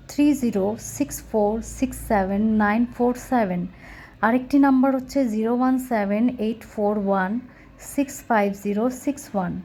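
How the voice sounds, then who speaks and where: A woman with a Bangladeshi accent presents a product in a scripted sales pitch. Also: dark tone.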